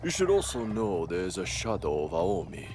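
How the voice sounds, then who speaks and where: Deep-voiced, grave messenger. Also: male voice, very animated, normal speed, a little mellow, soft background music. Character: sober messenger bringing grim news in feudal Japan. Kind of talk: dramatic video game cutscene acting.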